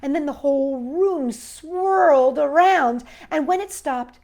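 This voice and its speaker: A woman's voice: high-pitched, slow pace, darkish tone. A woman hosting children's storytime, reading picture books aloud with enthusiastic, chatty asides to young viewers.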